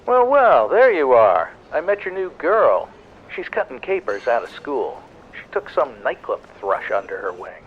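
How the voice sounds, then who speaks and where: A man's voice: mid-range voice, sing-song, measured pace, husky, narrow, tinny audio. A man playing a wry, tough police lieutenant with wisecracking patter in a 1940s-style noir radio drama. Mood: teasing.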